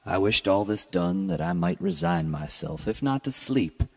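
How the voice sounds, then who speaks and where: A man with a very low, husky voice reads a classic tale aloud. His tone is heavily gravelly and his delivery is formal and measured, with a theatrical touch suited to dramatic literary narration. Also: animated. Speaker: podcast host and story reader.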